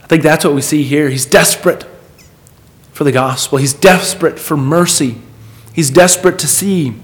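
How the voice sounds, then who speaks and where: Earnest male preacher with a higher-pitched voice. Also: moderate pace. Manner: earnest, emphatic, repeats phrases for weight. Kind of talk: sermon, expository preaching with rhetorical questions.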